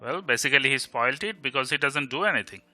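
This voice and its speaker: A man plays a young Bengali man in an audio drama, grumbling and teasing in an Indian accent. His voice is piercingly bright and tense, full of exasperated sarcasm. Faint music plays behind him. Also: medium pitch, animated, quick pace. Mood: exasperated, sarcastic. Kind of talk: amateur audio drama acting.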